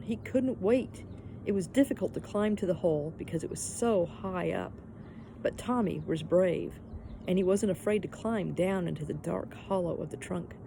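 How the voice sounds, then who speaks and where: A woman's voice: fairly low pitch, gravelly, noisy background. A woman narrating a children's story expressively, like a teacher reading aloud.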